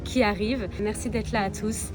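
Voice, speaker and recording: A woman's voice: medium pitch, steady tone, moderate pace, music in the background. A woman from Belgium, chatting casually to viewers as a travel vlogger. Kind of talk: casual vlog chat to viewers.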